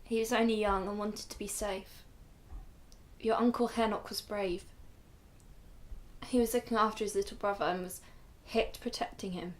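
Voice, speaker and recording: A woman playing a dignified grandmother telling her life story in a radio drama, measured and solemn. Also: medium pitch, fairly even intonation, lightly acted. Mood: solemn, reflective. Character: weary, dignified Ethiopian-Israeli grandmother recalling her flight.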